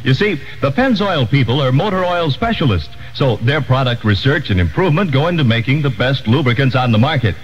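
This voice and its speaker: A man's voice: mid-range voice, animated, brisk, hardly any pauses. An American man giving a scripted 1960s radio commercial announcer read. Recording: muffled 1960s AM radio, slight noise.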